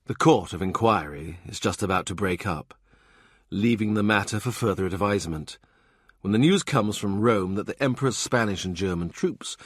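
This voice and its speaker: A man narrating a historical novel as an audiobook in a measured, even-toned reading. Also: on the low side, no echo, clean studio sound.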